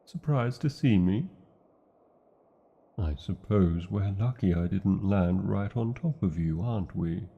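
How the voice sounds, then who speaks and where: A man's voice: deep voice, lively intonation, slow, vocal fry, husky, lo-fi sound, heavily acted. A man with a British accent voicing a large, devoted, proud male dragon in a fantasy ASMR romance, speaking tenderly with theatrical, formal wording. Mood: relieved, affectionate.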